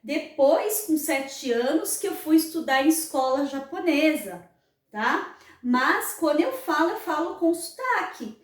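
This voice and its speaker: A woman's voice: high voice, lively intonation, measured pace. A Brazilian woman hosting her own channel, explaining earnestly and often checking in with tag questions. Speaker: channel host. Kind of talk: explanatory vlog talk. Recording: roomy echo, mic at a distance.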